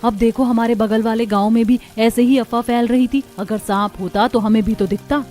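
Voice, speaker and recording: A woman's voice: on the high side, steady tone, moderate pace, dark tone, soft background music, natural voice. A woman voicing a sensible, skeptical young village daughter-in-law in a cartoon, matter-of-fact and reassuring. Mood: calm skepticism. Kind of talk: Hindi moral-story cartoon dubbing.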